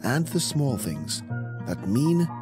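A man's voice: medium pitch, big pitch swings, slow pace, over music. A man narrating a scripted commercial as a voice-over. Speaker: advert voice-over.

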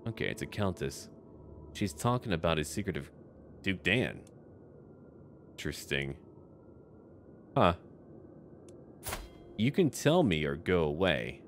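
A man's voice: low-pitched, big pitch swings, unhurried, pauses often, a little hiss or hum. A man giving casual game commentary with light role-play, musing aloud in short, clipped remarks. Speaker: gaming YouTuber. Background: background music.

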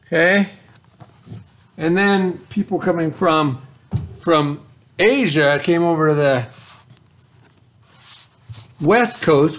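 A middle-aged American man teaching young pupils, reading aloud and explaining in an instructive way as an elementary school teacher. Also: on the high side. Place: indoor school classroom.

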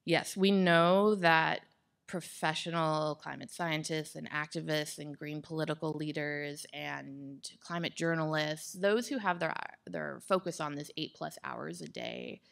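A woman guest expert answers questions in an onstage interview. Her voice sits low and is very gravelly with fry. She speaks in measured, well-formed sentences. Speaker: guest expert on climate psychology. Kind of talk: thoughtful interview answers on stage. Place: indoor stage with wood-slat backdrop. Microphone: microphone on boom stand.

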